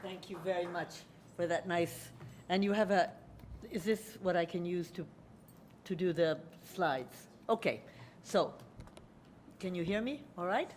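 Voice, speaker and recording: A woman's voice: lowish voice, a little slow, pauses often, a little mellow, a little hiss or hum. An older woman, a native Hungarian speaker, giving a conversational public lecture at a podium and speaking to children in the audience. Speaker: guest lecturer.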